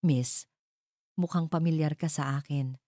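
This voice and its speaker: Woman voicing a curious male stranger, mellow tone. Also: deep voice, steady tone, a little slow, dry recording, clean studio sound. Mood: curious, wistful. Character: curious, chatty male stranger, an ordinary onlooker.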